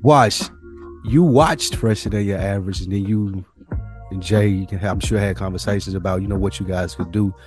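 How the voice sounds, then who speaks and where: A man's voice: low-pitched, fairly quick, darkish tone, soft background music. An American man hosting a podcast in casual, informal conversation. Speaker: podcast host. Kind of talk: casual chat.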